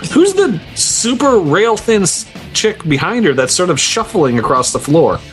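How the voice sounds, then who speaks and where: A man's voice: high-pitched, sing-song, a little slow, soft background music. A man on a podcast panel, chatting casually with a joking, crude sense of humor. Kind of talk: casual group banter.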